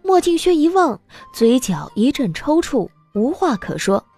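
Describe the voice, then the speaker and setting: High-pitched, lively woman narrator. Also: a little slow, soft background music. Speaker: audiobook narrator. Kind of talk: expressive scripted narration of a romance novel.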